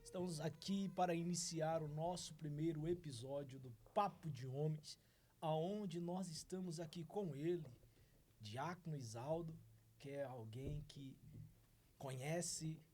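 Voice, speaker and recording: Playful man hosting, higher voice. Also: a little slow, darkish tone. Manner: playful, teasing, interjects often. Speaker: podcast host. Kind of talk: casual church podcast chat.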